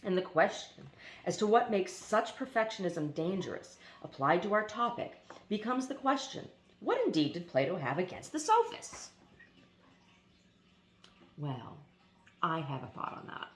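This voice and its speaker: A woman reading a philosophy essay aloud in a deliberate, emphatic way, now and then stumbling on foreign names. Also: fairly low pitch, big pitch swings. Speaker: reader. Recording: echoey room.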